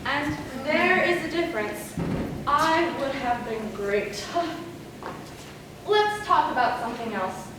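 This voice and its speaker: Woman playing a high-voiced, vain movie star, echoey stage. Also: expressive, slow pace. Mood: grandiose. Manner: theatrical, self-absorbed, grand. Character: vain, self-dramatizing movie star woman in her forties. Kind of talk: stage play acting. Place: stage in a large hall, far from microphone. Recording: distant, echoey, noisy stage recording.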